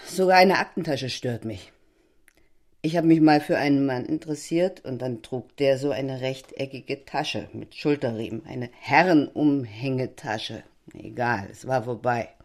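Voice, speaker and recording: A tipsy, brittle wife in a stage-like audio drama. Her voice sits low for a woman. She hands down opinions with languid, sardonic certainty. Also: measured pace, darkish tone. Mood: tipsy musing. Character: tipsy, opinionated, brittle married woman, guest of another couple. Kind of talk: theatrical audio drama acting.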